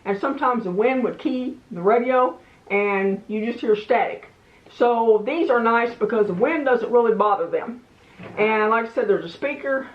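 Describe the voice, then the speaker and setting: A woman's voice: on the high side, normal speed. Folksy lady doing a casual product review, kinda rambly, echoey room, slightly muffled audio. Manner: plain-spoken, folksy, meandering.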